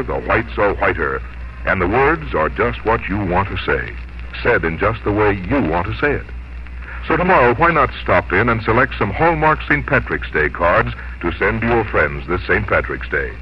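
A man reading scripted announcements and advertising as a 1950s radio announcer, with a polished, measured sales delivery. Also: on the low side, slight background noise. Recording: dull vintage radio broadcast, music behind. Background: music.

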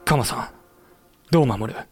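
An actor playing a thoughtful samurai official who narrates a war in measured, explanatory audio drama style. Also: male voice, medium pitch, faint music behind, close to an everyday voice. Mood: measured narration. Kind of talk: scripted dramatic narration and acting.

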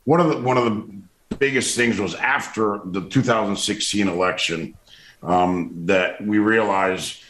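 A man with a loud, forceful way of talking, speaking in a casual interview with frequent restarts and fillers. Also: lowish voice, a little slow, echoey room, slight background noise, rough recording. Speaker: political commentator.

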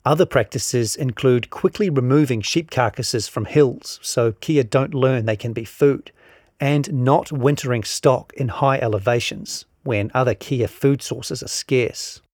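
A man's voice: medium pitch, measured pace, darkish tone, husky, no room sound, clean studio sound. A man narrates a scripted long-form article, reading out both the reporting and the quotations.

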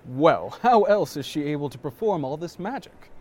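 A man's voice: a little high, expressive, moderate pace, darkish tone. A man playing an earnest, pompous swordsman in a fantasy audio drama, speaking formally. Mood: haughty, curious.

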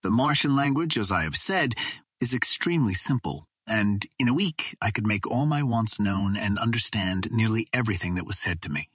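A man reading a first-person story as a literary narrator, in a measured and formal storytelling manner. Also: fairly low pitch, lively intonation, a bit bright, husky, no room sound, studio quality. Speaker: audiobook narrator.

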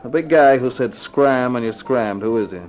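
A man's voice: mid-range voice, moderate pace, a little mellow, natural voice. An actor playing a cool, wisecracking private eye in a 1950s radio detective drama, terse and wry in his slangy delivery. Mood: wry, casual. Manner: terse, wry, slangy tough-guy talk. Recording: vintage 1950s radio recording with music.

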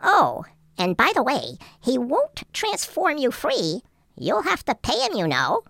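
High, creaky, bossy storybook mouse. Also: male voice, huge pitch swings, a little slow, bright, forceful tone, no echo, studio quality, heavily acted. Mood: knowing. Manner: insistent, knowing. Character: brisk, bossy mouse friend giving advice. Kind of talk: storybook character voice.

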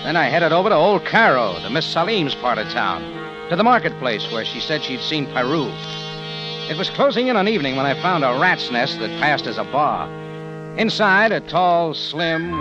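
A man's voice: fairly high pitch, sing-song, moderate pace, lo-fi sound. An actor playing a terse, wry American adventurer who narrates his own case in slangy 1940s radio detective style. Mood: tense narration. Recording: old radio recording, music behind.

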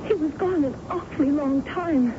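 High-voiced distraught young American woman, played by an actress. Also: normal speed, close to an everyday voice. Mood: troubled, confiding. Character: distraught young woman, a daughter recounting a terror. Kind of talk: American radio drama acting. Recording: muffled, noisy vintage radio recording.

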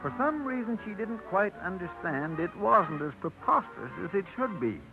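An actor narrating a 1950s radio drama as a wry storyteller of small-town life. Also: male voice, high voice, sing-song, normal speed. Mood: wry storytelling. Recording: tinny old radio, loud music behind.

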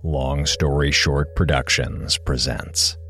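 A man's voice: rumbling low, slow, vocal fry, bright, forceful tone, faint music behind. A man reading scripted title credits as an announcer.

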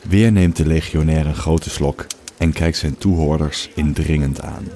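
Narrator, male: extremely low voice, reading scripted event summaries and stage directions. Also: fairly even intonation, a little slow, warm tone.

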